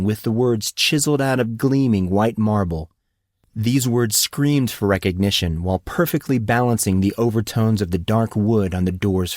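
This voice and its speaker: A man's voice: fairly low pitch, darkish tone, no room sound, studio quality. A man reading scripted narration for a fantasy story as an audiobook narrator.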